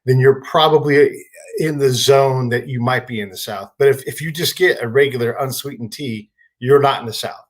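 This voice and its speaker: A man's voice: mid-range voice, moderate pace. A man hosting a podcast, chatting casually and asking long, wandering questions full of examples. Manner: conversational, lists examples, asks long rambling questions. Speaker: podcast host. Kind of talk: casual interview chat.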